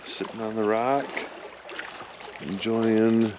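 Man in his fifties, very low voice, easygoing fishing-trip narration, recorded outdoors on a camera in a boat with clear lake and wind noise. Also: takes it slowly, muffled recording. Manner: relaxed, matter-of-fact, upbeat when a fish bites. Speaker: fishing vlogger. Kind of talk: casual vlog narration. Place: small aluminum boat on an open lake, outdoors. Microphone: action camera mounted in the boat.

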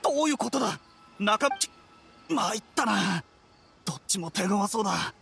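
A man playing a shifty smuggler in a Japanese video game, rough, curt and jumpy. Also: high voice, expressive, normal speed, fairly bright tone, faint music behind, exaggerated voice. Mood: alarmed.

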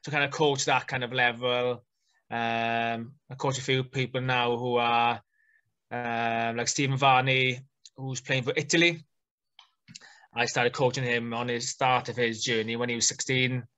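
Welsh male coach, 30s, bright tone, relaxed chatty guest on a webcam call. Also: mid-range voice, measured pace. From Wales. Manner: relaxed, chatty, many ums and fillers. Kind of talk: casual video-call interview. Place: small home room indoors. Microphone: video call webcam.

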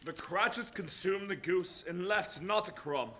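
Male narrator, high voice, plain storytelling for a staged reading. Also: measured pace, fairly bright tone, slight background noise.

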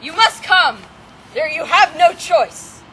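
A bossy, impatient storybook villain, acted by a woman in a homemade comedy. The voice is high and bright, with lively swings of pitch. There is faint noise from outdoor camcorder sound. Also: slow, full character voice, poor audio. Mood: commanding. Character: bossy, impatient comic fairy-tale villain kidnapper. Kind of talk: amateur comedy film acting. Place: outdoors in a grassy backyard.

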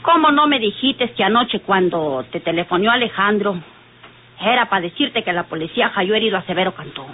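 A woman's voice: medium pitch, animated, brisk, faint music behind, lightly acted. An actress playing a stubborn, tipsy older rural Mexican woman in an old radio soap, drawling and defensive. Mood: defiant. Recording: tinny band-limited old radio drama.

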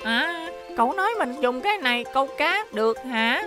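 Earnest high-pitched cartoon young boy. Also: expressive, moderate pace, music in the background. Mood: curious. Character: earnest, eager young boy who loves his teacher. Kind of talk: Vietnamese cartoon dub.

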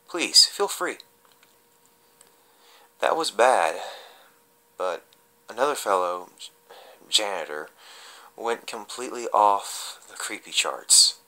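A man giving an amateur dramatic reading of a horror story as a confessional, halting and stumbling, with drawn-out pauses. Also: on the low side, bright, forceful tone.

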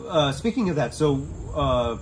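A middle-aged man interviews a guest in a relaxed way. He asks leading questions and keeps nudging the talk along with quick affirmations. He sits on a porch at night, and a little faint outdoor ambience can be heard behind him. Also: fairly high pitch, noisy background. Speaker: host, scareactor trainer. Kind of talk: casual interview.

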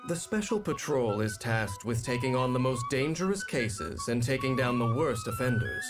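A man giving scripted narration for a game showcase in a measured, polished announcer manner. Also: medium pitch, lively intonation. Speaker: trailer narrator. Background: music.

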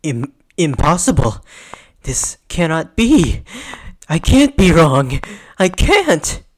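A man's voice: medium pitch, measured pace, no echo, natural voice. A man voicing a creepy, smug anime nerd with a pedantic way of talking. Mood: panicked disbelief.

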